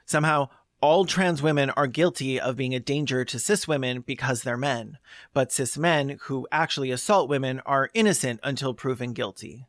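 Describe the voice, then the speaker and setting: Guy doing sarcastic video essay commentary, mid-pitched, super dry sound. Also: normal speed, fairly bright tone, no echo. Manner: sarcastic, scornful. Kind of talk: scripted opinionated commentary.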